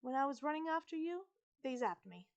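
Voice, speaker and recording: A woman's voice: high-pitched, lo-fi sound, voice pushed up. A woman voicing an anxious, chattering teenage girl turned into a dog, dramatically complaining in an audiobook. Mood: distressed, indignant. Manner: rapid, excitable, dramatic complaining. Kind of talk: audiobook character voice.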